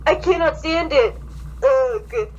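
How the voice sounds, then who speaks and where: A woman's voice: sits high, slow pace, lo-fi sound, a little hiss or hum. A woman voicing a brooding, lovestruck male serial killer who groans melodramatically in a fan fiction reading. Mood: anguished, smitten.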